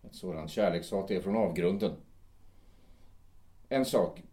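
A man playing a hesitant, gentle cousin. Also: low voice, close to an everyday voice. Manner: hesitant, sympathetic. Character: gentle hesitant middle-aged cousin, a quarantine master. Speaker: actor. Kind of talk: audio drama acting.

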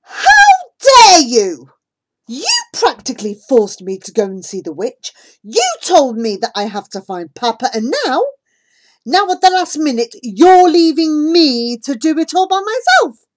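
A woman's voice: sits high, measured pace, a little mellow, pitched above the performer's own voice. A woman voicing a hurt, stubborn young girl who breaks into angry, emotional outbursts. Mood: distraught.

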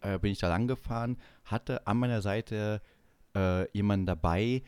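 A man from eastern Germany chatting casually and comically as a podcast host, animated and given to mock-indignant banter. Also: on the low side, normal speed, clear, resonant tone, no room sound. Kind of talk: casual comedic chat.

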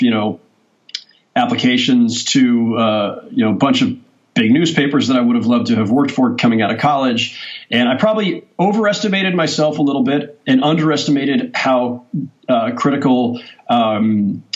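A man's voice: mid-range voice, normal speed, muffled recording. A sportswriter in his forties, a guest on a podcast, telling his story in a thoughtful, rambling way. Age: 40s. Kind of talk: casual interview talk.

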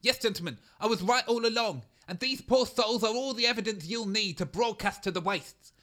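A self-important scientist, played by a man in a comedy audio drama. He speaks in a high, bright voice and lectures everyone pompously. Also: brisk. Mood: lecturing. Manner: pompous, explanatory.

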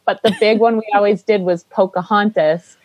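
A woman co-hosting a podcast chat, with a low voice and a blunt, casually profane way of talking. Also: fairly even intonation, normal speed, dry recording, rough recording. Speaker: podcast co-host.

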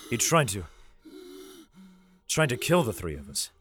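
A man's voice: medium pitch, very animated, unhurried. A man playing a desperate, protective father fleeing underground in an audio drama, who also narrates in the first person. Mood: shaken.

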